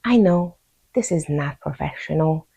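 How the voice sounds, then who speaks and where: A woman with a Hungarian accent guides listeners through a craft tutorial. She speaks very slowly, in a soft-edged, mellow tone with a fairly low pitch. Her delivery is informal and easygoing, like a patient demonstration done step by step. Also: animated, vocal fry, slightly rough. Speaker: host of a crochet tutorial channel.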